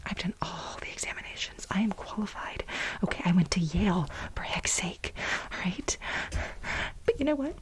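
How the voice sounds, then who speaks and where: A woman playing an aggrieved, manic, overworked research assistant in a soft-spoken, whispered ASMR role play, with halting, dramatic complaints. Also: fairly low pitch, expressive, lightly acted, poor audio. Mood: fed up, indignant. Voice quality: breathy whisper.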